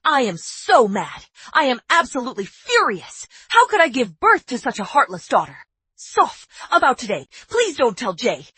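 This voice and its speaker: A middle-aged mother whose voice swings widely as she scolds in outrage. The sound is slightly husky, close and dry. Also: fairly high pitch, wildly swooping pitch, moderate pace, pushed and bright, dry recording, full character voice. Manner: emotional, indignant scolding.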